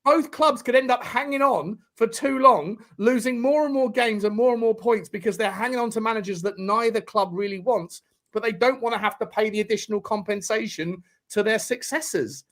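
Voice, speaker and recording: A man co-hosting a football podcast, animated and long-winded, tagging his points with "right?" and "mate". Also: high-pitched, a little fast. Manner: animated, explains at length, says "mate" and "right". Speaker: podcast co-host. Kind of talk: casual football chat.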